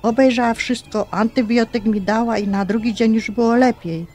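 A woman's voice: fairly high pitch, fairly even intonation, normal speed, close to an everyday voice. Actress as chatty middle-aged mother, faint music. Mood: chatty, teasing. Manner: hushed, colloquial, teasing. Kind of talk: radio drama dialogue.

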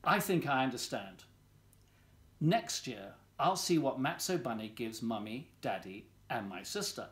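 Older man reading a storybook, animated pitch. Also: unhurried. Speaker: volunteer story reader. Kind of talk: reading a children's storybook aloud. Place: small living room at home, indoors.

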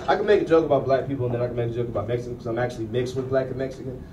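A man's voice: medium pitch, very fast. An American man doing stand-up comedy in a casual, slangy, punchy storytelling way on a handheld microphone. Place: small comedy club stage. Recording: roomy distant club sound, loud crowd noise. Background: loud audience laughter and noise.

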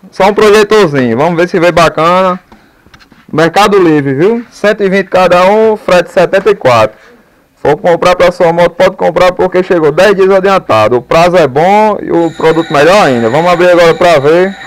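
A man's voice: normal speed, dry recording. A man chatting casually and slangily as a motovlogger. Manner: informal, slangy. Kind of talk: casual vlog chat. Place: riding a motorbike on rural dirt roads, later a dim room. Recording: noisy action-camera audio, distant voice, motorcycle rumble.

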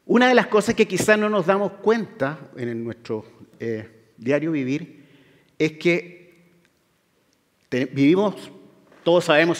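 A middle-aged man with a Chilean accent lectures to a large audience in a relaxed, conversational way, pausing on fillers and tag questions. His voice sits at a middle pitch, and a long reverb from the hall trails each phrase. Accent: Chilean Spanish. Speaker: guest lecturer, oceanographer. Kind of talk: public science lecture.

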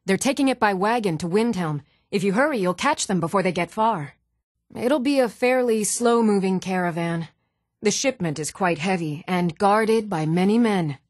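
An actress voicing an even-toned young Nordic townswoman and warrior in a fantasy video game, measured and clearly spoken. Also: mid-range voice, dry recording, studio quality, close to an everyday voice. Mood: cool, measured. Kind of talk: scripted video game dialogue.

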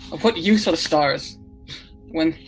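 A man playing the weary, despairing last human in a radio drama, speaking in a halting, brooding way. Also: on the high side, soft background music, low-quality recording.

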